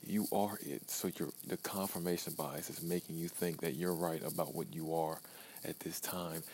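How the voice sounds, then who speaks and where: A man's voice: low voice, fairly even intonation, normal speed, darkish tone, slight background noise. A man in his early twenties talking to himself in a blunt, profane podcast monologue, repeating phrases for emphasis. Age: 20s. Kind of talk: self-talk pep monologue.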